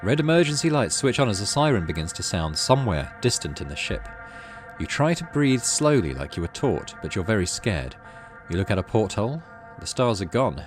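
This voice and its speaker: A man's voice: on the low side, very animated, fairly quick, faint music behind. A man hosting a gaming video, reading story text aloud expressively and adding casual, musing commentary.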